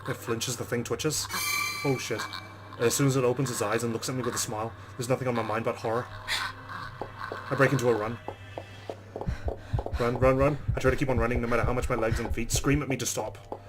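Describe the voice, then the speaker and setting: A man's voice: mid-range voice, flat delivery, a little fast, pauses often, rough recording. A man hosting a let's play, reading visual novel narration dramatically and reacting with exclamations.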